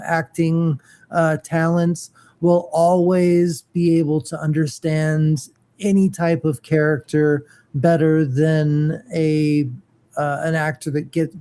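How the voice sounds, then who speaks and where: Co-host, very slow, mellow dark tone, hesitant, repeats words, many fillers. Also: medium pitch. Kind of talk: casual geeky chat.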